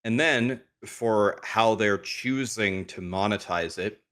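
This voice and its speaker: A man who is a guest on a podcast, talking casually and frankly while his voice is going hoarse. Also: lowish voice, slow pace, a bit bright. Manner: frank, thinks aloud with restarts. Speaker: guest streamer.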